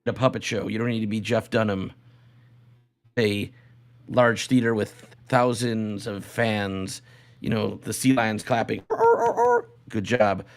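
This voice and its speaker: Man, podcast host, casual comedic talk, halting delivery, restarted phrases. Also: on the low side, steady tone. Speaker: show host.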